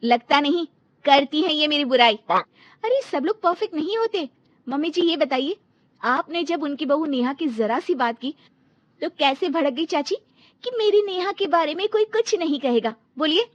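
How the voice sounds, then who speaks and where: Woman voicing a pointed, animated young daughter-in-law. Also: high-pitched, moderate pace, no room sound, highs cut off, pitched above the performer's own voice. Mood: resentful. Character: young newlywed daughter-in-law, dutiful but quick to resent.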